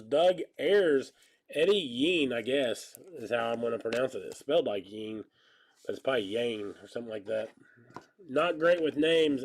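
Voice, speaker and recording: A man in his thirties with a stuffy nose and slightly hoarse voice, chatting casually and joking while he hosts a live card break. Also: mid-range voice. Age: 30s. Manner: rambling, joking, calls out card pulls. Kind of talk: casual live-stream chat while opening cards.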